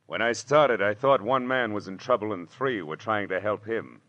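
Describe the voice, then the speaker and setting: Wisecracking American gumshoe played by a male actor, world-weary and low-pitched, vintage radio drama, muffled sound. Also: normal speed, a bit bright, no room sound, lightly acted. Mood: wry storytelling. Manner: wisecracking, slangy, clipped. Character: wry, hardboiled male private detective, world-weary. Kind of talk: 1940s radio drama acting, first-person narration and dialogue. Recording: dull old radio recording with music.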